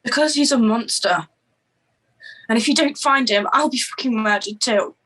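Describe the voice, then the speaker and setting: An actress in a screenplay table read playing an anxious, impatient woman whose partner was abducted, sharp-tongued and exasperated. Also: on the high side, normal speed, breathy edge, poor audio. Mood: angry, frightened.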